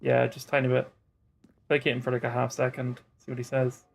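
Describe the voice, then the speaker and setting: A man chatting casually as he streams a game, in a low-key, hesitant and considerate way. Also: completely flat delivery, fairly quick, creaky, soft background music. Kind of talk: casual live game playthrough chat.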